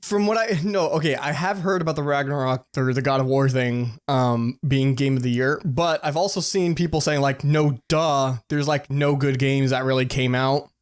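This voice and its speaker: Man, middle pitch, podcast co-host, casual banter, slangy, opinionated. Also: fairly even intonation, moderate pace, hardly any pauses. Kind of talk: casual banter about video games.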